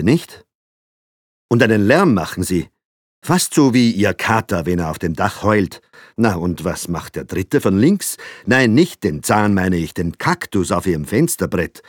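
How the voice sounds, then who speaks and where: A man voicing a fussy middle-aged father, switching between polite, gruff and baby-talk tones. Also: medium pitch, big pitch swings, takes it slowly, dry recording, studio quality, voice pushed up. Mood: polite chatter.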